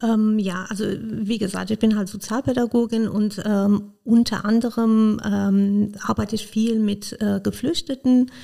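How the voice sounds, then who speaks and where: Iranian-born woman with a dark gravelly voice. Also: mid-range voice, flat delivery, measured pace, hardly any pauses. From Iran. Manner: hesitant, many fillers. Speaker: podcast guest, social worker. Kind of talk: casual interview talk.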